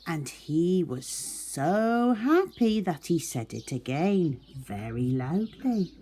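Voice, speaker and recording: Mellow, expressive woman reading a children's story. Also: on the low side, slow pace, slightly rough. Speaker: storyteller, teacher. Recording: faint background noise, birdsong. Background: birdsong.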